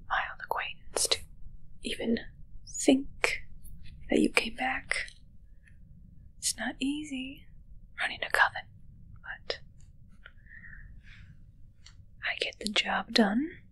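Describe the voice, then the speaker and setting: A woman's voice: high voice, heavily acted, rough recording. Woman playing a whispering, musing witch. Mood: self-assured, confiding. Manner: slow, musing, hushed, close personal attention. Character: musing, self-assured witch who runs a coven, darkly matter-of-fact. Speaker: ASMR roleplay performer. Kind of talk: whispered ASMR roleplay. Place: small dark curtained indoor set.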